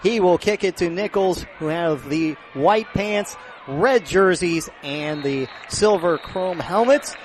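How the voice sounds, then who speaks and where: A man's voice: sits high, lively intonation, slow, a little hiss or hum. A man giving live radio play-by-play commentary at a sports venue, projecting his voice in urgent, clipped phrases.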